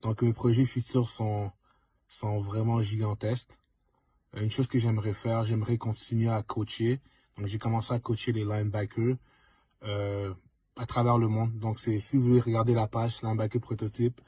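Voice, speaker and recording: A young man speaks reflectively to students and drops in English words. His low voice sounds husky and close, with a dry, unechoing sound. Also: flat delivery, moderate pace, mellow, no room sound. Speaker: former student, pro football player. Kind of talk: casual testimonial to students.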